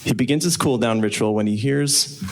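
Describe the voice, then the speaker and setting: A man's voice: mid-range voice, moderate pace, lightly acted. A man narrating a live radio play, reading scripted stage directions. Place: on stage in a live theatre performance. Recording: noisy live stage ambience.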